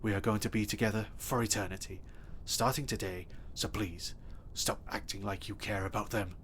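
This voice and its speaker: A man playing an obsessive, possessive stalker in an audio roleplay, speaking softly and intimately with a threatening edge. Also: fairly low pitch, steady tone, normal speed, a little hiss or hum, lightly acted. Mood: cold menace. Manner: soft, intimate and menacing, bursting into threats. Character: obsessive, possessive male stalker kidnapper, adult.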